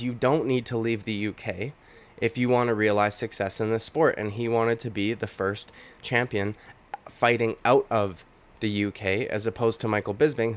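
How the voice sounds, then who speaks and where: Indignant man ranting. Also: medium pitch. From Canada. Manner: indignant, ranting, repeats himself. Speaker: MMA commentary YouTuber. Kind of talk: opinionated reaction commentary.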